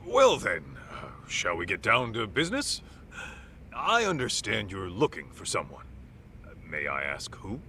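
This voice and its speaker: A man's voice: on the low side, huge pitch swings, unhurried, bright, forceful tone, a little hiss or hum, exaggerated voice. A man playing a courteous, probing middle-aged private investigator in an English-dubbed video game drama. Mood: courteous, affable. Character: gruff but courteous male private investigator.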